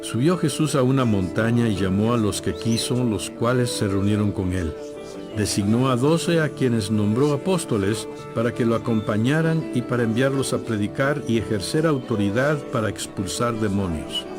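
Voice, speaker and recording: A man giving scripted, measured and solemn narration for a dramatized audio Bible. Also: mid-range voice, expressive, over music.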